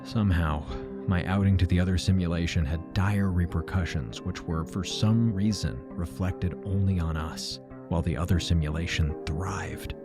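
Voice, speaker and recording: A low-voiced, weary aging narrator over music. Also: male voice, moderate pace. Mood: anguished, rueful. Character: weary, regretful aging man recounting his troubled past. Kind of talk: first-person fiction podcast narration.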